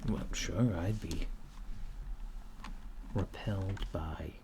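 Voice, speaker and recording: A man doing soft-spoken ASMR roleplay as a polite, curious alien clerk, hesitant and apologetic, often slipping toward a whisper. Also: low-pitched, extremely slow. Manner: hesitant, apologetic, earnest questioning, drifting into whispers. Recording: noisy close recording with keyboard typing. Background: computer keyboard typing.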